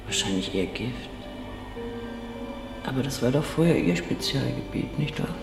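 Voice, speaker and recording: An actor playing a polite, evasive Soviet forensic doctor in a radio crime drama, speaking in a deliberate, clinical way. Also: male voice, mid-range voice, lively intonation, gravelly. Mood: puzzled, evasive. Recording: reverberant morgue-like room with music.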